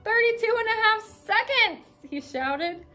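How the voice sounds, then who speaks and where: A woman guest reader reading a children's story aloud in an expressive storytelling manner. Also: very high voice, measured pace, faint music behind. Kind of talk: reading a picture book aloud.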